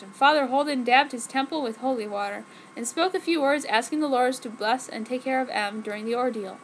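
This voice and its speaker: A woman's voice: high-pitched, a bit bright, rough recording. A woman giving an exaggerated, mock-serious dramatic reading of a story as an amateur fanfiction reader. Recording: noticeable background noise.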